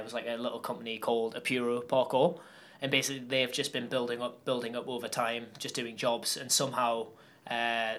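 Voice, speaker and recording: A young Geordie man talks in a loose, rambling way, full of fillers and restarts. His pitch sits in the middle but barely moves, so it comes out fairly monotone. He is a guest in a relaxed interview. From Newcastle upon Tyne, England. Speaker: guest athlete. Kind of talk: casual interview chat.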